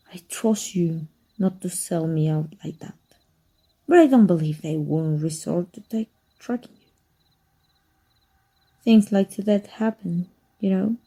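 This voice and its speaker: Female, woman from Mexico doing a scheming ex-magic teacher for ASMR roleplay; deep mellow tone, breathy, soft teasing half-whisper. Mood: probing, wary. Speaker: voice actor.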